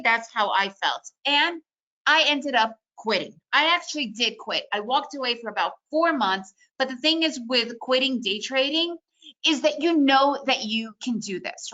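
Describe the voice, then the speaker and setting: A woman's voice: on the high side, sharp tone, muffled recording. A woman, a native Russian speaker who grew up in the United States, leading a trading webinar in a chatty, upbeat way with lots of "you know" and "right?". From Soviet Union, raised in the United States. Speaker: day trading educator hosting a webinar. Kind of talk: casual webinar talk.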